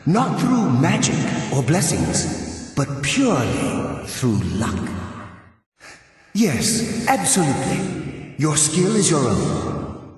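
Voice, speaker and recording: A weary ghostly mentor of thieves speaks out of deep, distant echo. He is earnest and measured, taking his time, with lively intonation. It sounds like fantasy game dialogue. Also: heavily acted. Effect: ghostly reverb.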